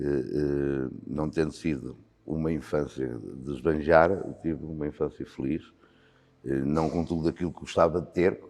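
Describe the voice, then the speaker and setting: Very deep-voiced Portuguese man in his sixties. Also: warm tone. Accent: European Portuguese. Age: 60s. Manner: thoughtful, with frequent hesitations. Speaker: volunteer fire commander. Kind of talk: reflective interview answers. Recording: long hall reverb with faint music.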